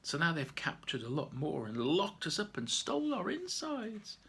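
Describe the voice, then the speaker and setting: Guy doing a little sad sun bear for a storybook, high-pitched, pleading. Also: wildly swooping pitch, moderate pace, gravelly, a bit bright, husky, heavily acted. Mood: plaintive. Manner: high, plaintive and earnest.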